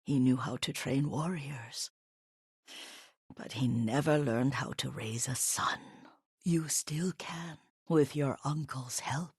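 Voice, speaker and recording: An actress playing an elderly, devoted caretaker and herbalist in a video game, gentle but firm, with a husky, breathy voice and a motherly manner. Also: a bass voice, dry recording, studio quality, exaggerated voice. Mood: solemn, consoling. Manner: unhurried, softly instructive, motherly. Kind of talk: video game character dialogue.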